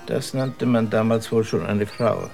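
A stern radio-drama father. His voice is lowish and rasping, with heavy fry, and he speaks curtly and with disapproval over music. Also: a little slow, a little mellow. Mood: stern, distrustful. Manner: curt, disapproving. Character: stern, distrustful father of a grown son. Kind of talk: German radio drama acting.

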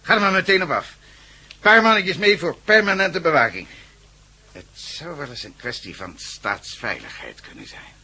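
An actor playing an authoritative police commissioner in a Dutch radio play, emphatic and deliberate as he gives orders. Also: on the high side, very animated, pushed and bright, a little hiss or hum, full character voice. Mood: commanding. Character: authoritative male police commissioner briefing his men.

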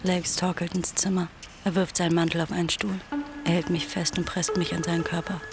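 A woman's voice: lowish voice, flat delivery, brisk, close to an everyday voice. A woman playing a cool, scheming gangster's lover who narrates terse noir scenes in a radio drama. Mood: cool, detached. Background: loud music.

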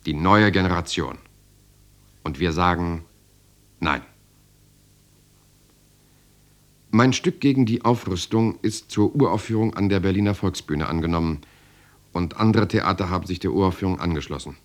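An idealistic young would-be playwright in a radio drama. His voice is very low, and he phrases his thoughts deliberately, with earnest rhetorical weight. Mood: defiant. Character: idealistic young male playwright and former medical student. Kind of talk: German radio drama monologue and dialogue.

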